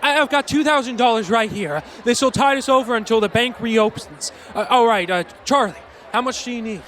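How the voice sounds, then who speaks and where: A man's voice: extremely high pitch, fairly quick, fairly bright tone, a faint crowd. An actor in a radio play voicing an earnest, flustered young small-town businessman who stammers and pleads. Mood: relieved, kindly. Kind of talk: old-time radio play acting.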